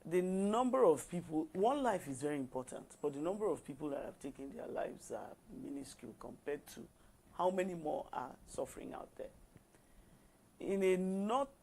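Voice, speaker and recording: A woman co-hosting a talk show, speaking in a measured way, often pausing on "you know". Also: low-pitched, lively intonation, a little mellow. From Nigeria. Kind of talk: casual discussion on a talk show.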